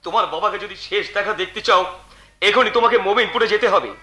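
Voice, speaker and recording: A man's voice: high voice, fast talker, over music, close to an everyday voice. A man playing a scheming young brother-in-law in Bengali folk-theatre melodrama, with an emphatic, declamatory delivery. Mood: falsely concerned.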